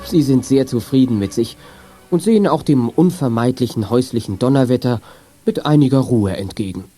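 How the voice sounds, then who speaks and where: A man's voice: medium pitch, brisk, dark tone, soft background music, natural voice. A man narrating a children's radio play in a scripted storybook style.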